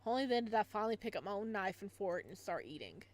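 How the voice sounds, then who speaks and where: A woman's voice: medium pitch, big pitch swings, quick pace. A woman doing a casual let's play, reading story text aloud with side comments and sometimes stumbling over words. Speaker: gamer reading a visual novel aloud.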